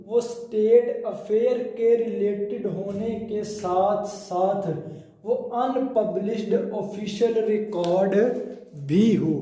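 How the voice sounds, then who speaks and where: A man with a Pakistani accent giving an explanatory law lecture, deliberate, reading out clauses and restating key phrases in other words. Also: high-pitched, mellow. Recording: very echoey, reverberant room sound.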